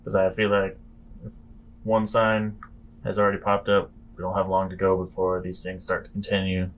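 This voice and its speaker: Low-voiced man playing a tabletop game. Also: monotone, moderate pace, rough recording. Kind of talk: conversational roleplay.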